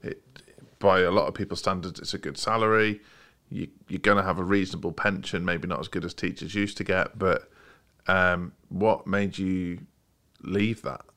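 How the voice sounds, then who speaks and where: A man hosting a podcast, relaxed and jokey, asking questions with many fillers. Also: deep voice, normal speed. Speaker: podcast host. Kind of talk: casual podcast interview.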